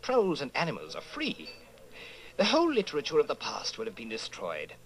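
A smug, zealous intellectual man acted by a male performer, lecturing with lively swings of pitch around a medium register. The style is radio drama. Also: brisk, poor audio. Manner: enthusiastic, lecturing. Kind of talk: old time radio style drama acting.

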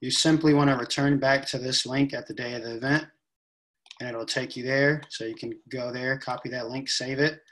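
A young man giving a relaxed step-by-step tutorial, with frequent ums, recorded on a laptop webcam in a room at home. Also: mid-range voice, poor audio. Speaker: event staff giving a tutorial. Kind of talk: casual screen-share walkthrough.

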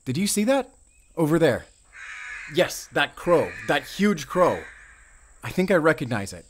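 A man playing a friendly, talkative, excitable young forest ranger with an American accent in a roleplay audio drama. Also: on the high side, unhurried, slight background noise. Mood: excited. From Arizona, US. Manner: talkative, eager, rambling, with small chuckles.

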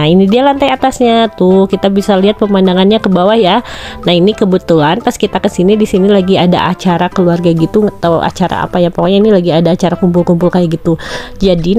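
A woman in her thirties, a family vlogger, chatting cheerfully to viewers as she films a tour of an outdoor fishing pond cafe on her phone. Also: on the low side. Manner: chatty, cheerful, excited cheers when fish are caught. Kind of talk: casual tour narration to viewers.

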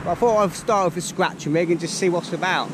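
A man's voice: high voice, fast talker, noisy background. An older man with a Norfolk accent, chatting casually to camera on a beach as he explains his fishing tackle step by step. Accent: Norfolk English. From England. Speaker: fishing channel host. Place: open beach at the sea, outdoors. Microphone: action camera close by. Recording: outdoor camera audio over loud surf. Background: loud surf and waves.

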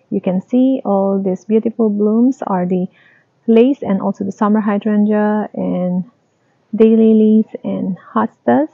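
A woman giving a casual tour of her garden, talking in a slow, halting, plain way. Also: medium pitch, creaky, no room sound. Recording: dry, tinny phone-like sound.

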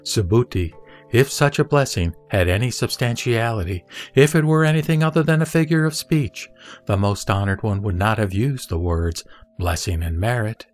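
A man playing the Buddha as a serene teacher, reciting scripture in a measured, didactic, emphatic way. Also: fairly low pitch, soft background music, lightly acted. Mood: calm, instructive. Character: the Buddha, serene male spiritual teacher instructing a disciple. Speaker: sutra audio drama reader.

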